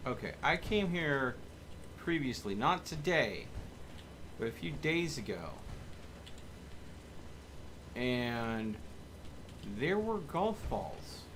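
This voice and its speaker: A man's voice: mid-range voice, very animated, slow pace, pauses often. A man giving casual live commentary as he streams a video game. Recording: clear background noise.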